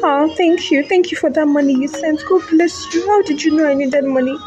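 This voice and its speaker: A woman plays a weary Nigerian mother of small children. Her voice is very high and expressive, full of exclamations and repeated phrases. It is an audio drama performance with clear music underneath. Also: brisk, lo-fi sound, natural voice. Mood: grateful, apologetic.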